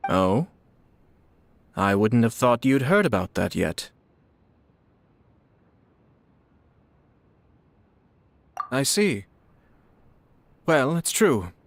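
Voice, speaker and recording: A man's voice: mid-range voice, sing-song, gravelly, no room sound, exaggerated voice. A man voicing a composed young noble lord in a video game, speaking formally and with polished courtesy. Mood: calm, gracious. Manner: formal, polished, politely measured.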